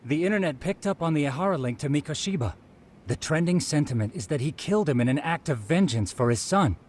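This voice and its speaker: A man's voice: fairly high pitch, lively intonation, quick pace, a little mellow, a little hiss or hum, close to an everyday voice. A man acting an eager, informal, internet-savvy associate in an English-dubbed game cutscene.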